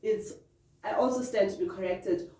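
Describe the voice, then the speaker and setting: A woman's voice: mid-range voice, moderate pace, dark tone. A young woman co-hosting a casual youth radio chat, thoughtful and often correcting herself with a giggle. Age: young adult. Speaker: radio co-host. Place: radio studio. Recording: roomy studio, distant mic, faint music.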